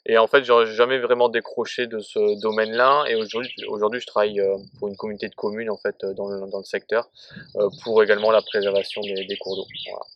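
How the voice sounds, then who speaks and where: A man's voice: lowish voice, flat delivery, fast, dull audio, a little hiss or hum. A man from northern France, a wildlife photography guide, answering interview questions outdoors with many hesitations and restarts. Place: outdoors at a scenic overlook.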